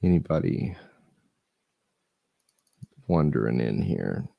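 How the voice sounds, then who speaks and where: A man's voice: a bass voice, big pitch swings, slow pace, vocal fry, breathy edge, no echo, lo-fi sound. A man hosting a casual stream, chatting loosely and thinking aloud while he is losing his voice. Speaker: host. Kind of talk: casual live-stream reading and chat.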